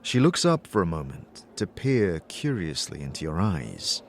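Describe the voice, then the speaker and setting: A man narrating a fantasy story in a scripted, dramatic style, with measured, descriptive delivery. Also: sits low, huge pitch swings, slight background noise.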